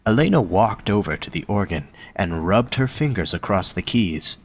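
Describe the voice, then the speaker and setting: A man's voice: fairly low pitch, animated, moderate pace, slightly rough. A man reading scripted story narration as the narrator of an audio drama.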